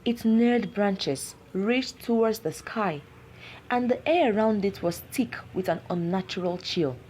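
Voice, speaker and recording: A woman narrating a scripted folktale in a solemn, measured, dramatic way. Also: medium pitch, mellow, slight background noise, rough recording. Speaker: storyteller.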